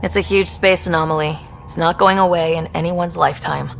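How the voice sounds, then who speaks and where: A woman's voice: fairly low pitch, steady tone, fairly quick, creaky, faint music behind. A woman playing an inquisitive young space explorer in a video game, speaking in a direct, casual, questioning way. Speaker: player protagonist. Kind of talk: scripted video game dialogue.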